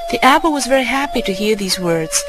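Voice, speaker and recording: High-voiced woman narrating a storybook over music. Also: expressive, fairly quick, rough recording. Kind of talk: scripted first-person fairy-tale narration.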